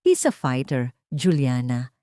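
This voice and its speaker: A woman's voice: extremely low pitch, sing-song, unhurried, warm tone, dry recording, clean studio sound, voice pushed down, exaggerated voice. A woman voicing a protective older brother reassuring his sister, in a storybook reading. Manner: reassuring, firm.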